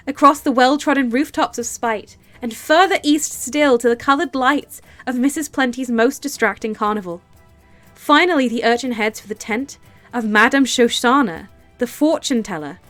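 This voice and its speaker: A woman streaming a game, chatting casually and reading its text aloud, excitable and quick to laugh, with a stuffy nose and sore throat from a cold. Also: soft background music. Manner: excitable, laughs easily, bursts into high delight.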